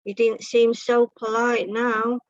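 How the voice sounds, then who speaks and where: A woman's voice: fairly high pitch, level tone, slow pace, no echo. A woman reading a comic short story over a video call. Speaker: story reader. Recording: muffled, dull online meeting audio.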